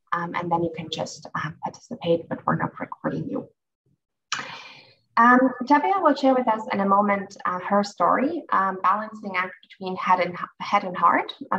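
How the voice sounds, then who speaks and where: A woman's voice: mid-range voice, a bit bright. A woman hosts an online session, friendly but hesitant, with many ums and restarts. Her voice comes through dull and a little distant over a video call. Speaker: moderator.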